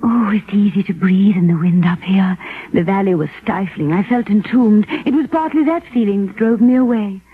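A woman's voice: medium pitch, normal speed, slightly rough. An actress playing a devoted, earnest young woman in love, tender and theatrical in 1940s radio style. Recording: muffled, narrow vintage 1946 radio recording.

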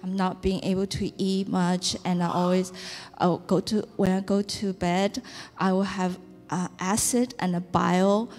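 A woman's voice: lowish voice, level tone, measured pace, darkish tone, soft background music. A woman from China with a Chinese accent giving a hesitant testimony on a church stage, full of fillers and restarts. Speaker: testifying congregant. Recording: long hall reverb.

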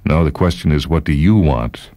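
Male narrator as erudite living-word man in a short story. Bottom-deep, blunt, precise delivery. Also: normal speed, dark tone, no echo. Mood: blunt, detached. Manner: clipped, pedantic, insistent. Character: erudite, coolly blunt man made of words.

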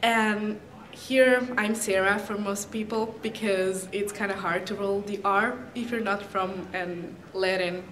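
A woman's voice: medium pitch, fairly even intonation, a little slow, hardly any pauses, fairly bright tone, echoey room. Teen female exchange student, Italian accent, mid-range voice, casual introduction to camera. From Milan, Italy. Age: teens. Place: indoors by a brick wall.

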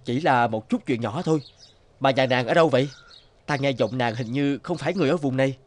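A man's voice: medium pitch, brisk, close to an everyday voice. An actor playing a principled young male county magistrate in a cartoon fairy tale. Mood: gentle.

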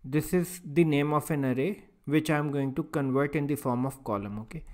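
A man instructing step by step in a screencast tutorial, speaking in halting, piecemeal phrases and often checking in with an okay. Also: fairly high pitch. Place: voice-over for a screen recording.

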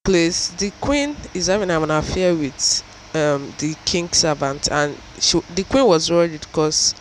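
A woman's voice: deep voice, animated, a little slow, background noise. A young woman, narrating a casual vlog recap in an informal, explanatory storytelling way. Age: young adult. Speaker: vlogger.

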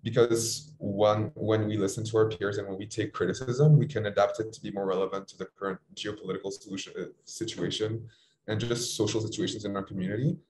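A man's voice: lowish voice, steady tone, brisk, a little mellow, slight background noise. A young man from Morocco, a student panelist giving a reflective answer in a discussion. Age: young adult. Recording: roomy, echoey sound.

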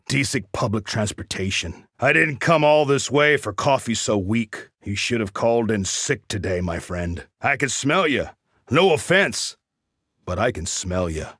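An actor playing a cocky, wry assassin mercenary in a video game, delivering short quips in a dry, sardonic, boastful way. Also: male voice, mid-range voice, bright, forceful tone, slightly rough, no echo, full character voice.

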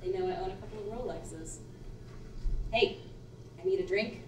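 A young woman on a small theater stage playing a blunt, tough-talking woman who is proud and unbothered, with a curt, deadpan delivery. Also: medium pitch, normal speed, a little hiss or hum, close to an everyday voice. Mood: dry. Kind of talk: live stage acting. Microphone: far room mic. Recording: roomy theater sound from far off.

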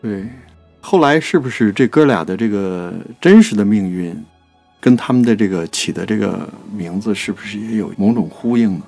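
A man's voice: on the low side, expressive, moderate pace, vocal fry, a little mellow. Reflective man chatting about literature over music. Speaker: producer and co-host of a poetry programme. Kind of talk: conversational literary discussion.

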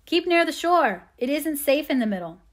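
A woman voicing a brisk, self-possessed youth in a storybook, urgent and commanding. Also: a little high, natural voice. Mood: urgent shouting.

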